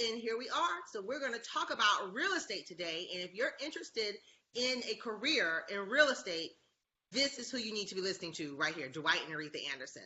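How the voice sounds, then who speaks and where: Bright, bubbly woman hosting a talk show. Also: a little high, muffled recording, a little hiss or hum. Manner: enthusiastic, gushing, reads bio aloud. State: slightly scratchy voice. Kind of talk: casual interview chat.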